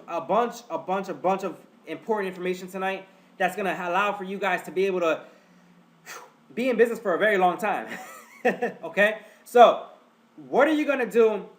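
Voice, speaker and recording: A man with a stuffed-up nose hosting a live webinar, chatty and repetitive, often calling his listeners guys. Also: high-pitched. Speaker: webinar host. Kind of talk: casual live webinar teaching.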